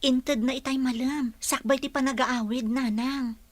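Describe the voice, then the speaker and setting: A woman's voice: high-pitched, fairly even intonation, measured pace, dry recording. A woman acting as an earnest young office employee in an Ilocano radio drama, with an Ilocano accent. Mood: confiding, troubled.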